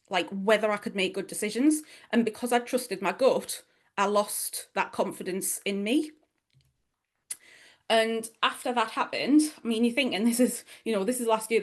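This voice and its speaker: A middle-aged woman from northeast England with a strong Geordie accent, giving a chatty workshop talk to an audience, full of asides and fillers. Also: mid-range voice. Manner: chatty, self-deprecating, many fillers and asides.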